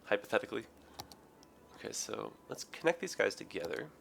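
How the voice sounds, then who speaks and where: A man with a raspy, hoarse, tired voice, rambling through casual game commentary with many ums and self-corrections. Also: fairly low pitch, very animated, fairly quick. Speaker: gaming video host. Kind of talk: casual let's play commentary.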